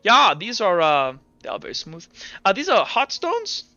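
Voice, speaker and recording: Evasive Inquisition doctor for tabletop fantasy, male player, bright, halting, soothing on the surface. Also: high voice, huge pitch swings, no room sound, highs cut off, faint music behind, heavily acted. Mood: reassuring, evasive. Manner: hesitant, halting, reassuring.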